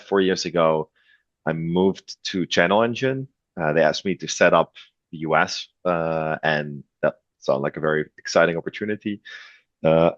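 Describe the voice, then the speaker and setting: A man from the Netherlands with a Dutch accent, talking as a podcast guest, relaxed with many fillers and restarts. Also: low voice, no echo, highs cut off. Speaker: guest, revenue executive. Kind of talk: podcast interview.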